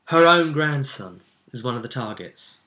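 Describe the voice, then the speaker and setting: Bright-voiced man recapping a game. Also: medium pitch, expressive, normal speed. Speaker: role-play session host. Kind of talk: casual recap of a role-play game.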